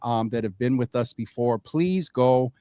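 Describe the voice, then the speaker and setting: A man's voice: mid-range voice, unhurried, mellow, clean tone, dry recording, clean studio sound. A man hosting a live morning show with casual, teasing banter, often repeating himself and talking over his guest.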